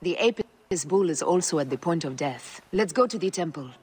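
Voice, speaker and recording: A woman's voice: fairly low pitch, animated, moderate pace, a little mellow, husky. A woman playing a resolute, devoted warrior wife of ancient Egypt in a video game, speaking formally and intently. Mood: tender concern.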